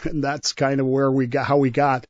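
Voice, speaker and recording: A man's voice: medium pitch, flat delivery, moderate pace, no room sound, lo-fi sound. A man telling history conversationally as a show guest, with an enthusiastic, emphatic delivery that sometimes halts and repeats words.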